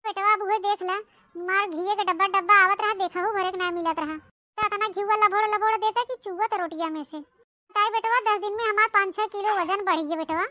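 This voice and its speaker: A garrulous old village mother-in-law, with an Awadhi accent. She rambles and exclaims in an extremely high, piercing cartoon voice. The sound is dry. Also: steady tone, fairly quick, sharp tone, no echo. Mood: delighted, praising. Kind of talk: cartoon comedy dialogue.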